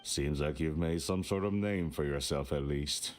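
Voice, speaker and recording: A man's voice: rumbling low, moderate pace, a little mellow, slight background noise. An actor playing a stern, reserved middle-aged merchant father in a video game, curt and formal. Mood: stern, aloof. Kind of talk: English video game voice acting.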